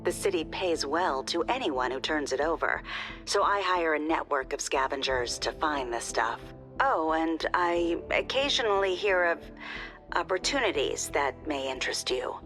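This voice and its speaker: A woman's voice: deep voice, lively intonation, normal speed, a bit bright, faint music behind. An actress playing a sly female proprietor who sells tips to a squad, in a silky, confiding manner. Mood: smooth. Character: sly female shop proprietor, smooth broker. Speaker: establishment proprietor. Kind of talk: video game voice acting.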